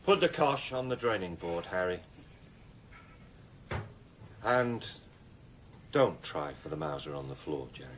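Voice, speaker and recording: A steady, tough British detective in a nineties radio drama. His very deep voice is measured and controlled, matter-of-fact and used to command. Faint rumble sits behind it. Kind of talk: 1990s radio drama acting.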